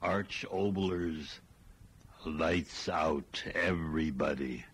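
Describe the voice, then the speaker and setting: An actor playing an ominous announcer who intones the opening of an old-time radio horror show word by word. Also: male voice, a bass voice, drawn-out words, noisy background, heavily acted.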